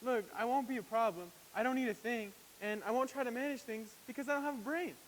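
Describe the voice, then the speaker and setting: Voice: mid-range voice, fast, natural voice. Someone doing a twangy eager scarecrow, big hall echo, bit of hiss. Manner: folksy drawl, playful. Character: scarecrow of straw, eager, folksy and timid. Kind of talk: musical stage acting with singing.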